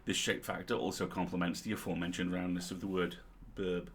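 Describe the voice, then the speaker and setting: A man's voice: low-pitched, level tone, a little fast, slightly rough. A middle-aged man co-hosting a livestream, reading a humorous article aloud with wry, playful and sweary asides.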